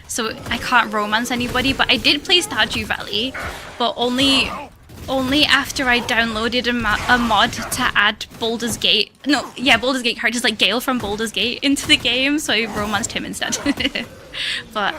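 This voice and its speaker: Shy high-voiced woman streamer. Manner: shy, hesitant, rambling with fillers and little laughs. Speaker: live streamer. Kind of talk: casual chat while playing a game.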